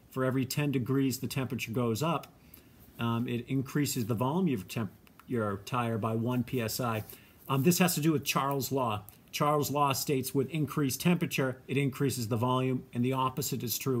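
Husky young teacher talking shop. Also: male voice, medium pitch. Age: young adult. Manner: relaxed, chatty, many ums, explains while working. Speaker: science teacher. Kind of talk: casual how-to lesson video. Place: home garage and driveway. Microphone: phone camera held close.

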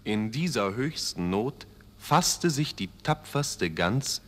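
A man narrating a children's fairy tale in an expressive scripted style, with deliberate, dramatic phrasing. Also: mid-range voice, heavy background noise.

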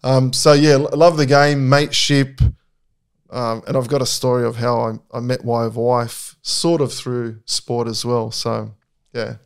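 A middle-aged man talks as a guest in a casual podcast conversation. His pitch sits in an ordinary middle range. He speaks candidly and reflectively, often restarting his sentences. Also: flat delivery, a little slow, clean tone.

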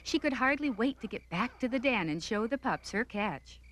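A woman's voice: on the high side, animated, normal speed. A woman narrating a scripted children's cartoon story.

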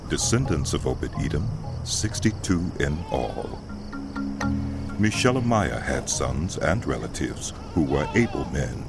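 Very deep-voiced man narrating scripture over music. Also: vocal fry. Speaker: audiobook narrator. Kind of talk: scripted scripture narration, dramatized audio Bible. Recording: loud music bed under studio narration.

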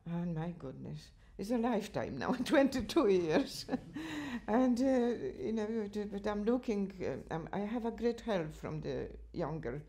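An older woman from Poland with a Polish accent, chatting about her volunteer work in an interview, laughing often and speaking in halting, non-native sentences. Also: mid-range voice, lively intonation, dark tone. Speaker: interviewee, cultural association chair. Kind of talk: reminiscing interview answers. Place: living room at home, indoors.

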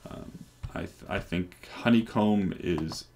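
Low-voiced man rambling through a tech demo. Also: slow, vocal fry, slight background noise. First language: English. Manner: relaxed, rambling, many ums and restarts. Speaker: developer presenting hardware. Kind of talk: casual screen-recorded tech demo. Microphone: headset microphone.

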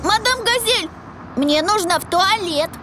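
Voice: extremely high pitch, animated, unhurried, very noisy. A performer voicing a sleepy, curious little pony boy in a children's cartoon, with simple childlike delivery. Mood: sheepish.

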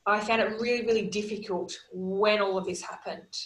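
A woman in her thirties, a holistic wellness practitioner, telling her story in earnest, steady lists on a video call from a small room at home. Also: medium pitch, moderate pace, roomy sound, low-quality recording. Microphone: video call, laptop camera.

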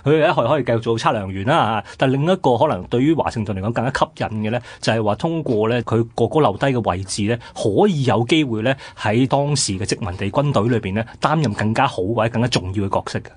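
A man's voice: medium pitch, animated, fast talker, hardly any pauses, slightly rough, dry recording. A man with a Hong Kong Cantonese accent, narrating history conversationally as a radio co-host.

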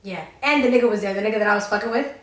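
A young woman co-hosting a podcast, telling a story fast and with lots of swearing while doing her makeup on a couch. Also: medium pitch, echoey room. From Tennessee. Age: young adult. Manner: fast, profane, animated storytelling. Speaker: podcast co-host. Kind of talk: casual storytime chat. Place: living room, on a green couch.

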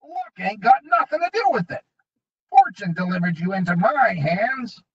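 A man reading an audiobook, voicing a sneering, bullying male goblin gang leader with high, snarling taunts. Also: sing-song, moderate pace, husky, full character voice. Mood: menacing. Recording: dull lo-fi recording.

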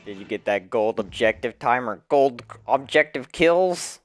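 An online gamer with a middle-pitched voice and lively swings of intonation. The talk is casual and unhurried, as with teammates during play. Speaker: online game player. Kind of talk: casual gaming chat.

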